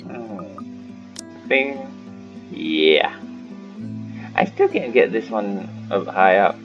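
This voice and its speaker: Man, casual gaming commentary, low voice, unhurried, thinking aloud, dull lo-fi mic, game music behind. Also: animated. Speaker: gaming host.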